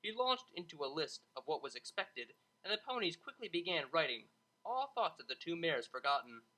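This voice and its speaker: Audiobook narrator, scripted fiction, expressive delivery, animated pitch, bright crisp edge, mid-range voice. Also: normal speed, low-quality recording. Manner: expressive, dramatized reading.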